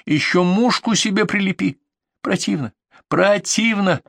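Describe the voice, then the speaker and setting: Stubborn, quick-tempered young woman, pitched high, indignant and exclamatory played by a man, audiobook dialogue. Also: vocal fry, a bit bright, dry recording, clean studio sound, exaggerated voice. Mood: outraged.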